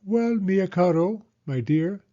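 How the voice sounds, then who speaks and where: Man voicing a lilting old village grandmother, high-pitched. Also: lively intonation, slow pace, mellow, dull audio. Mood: gentle, instructive. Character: kindly old Italian woman, orderly village healer and gardener.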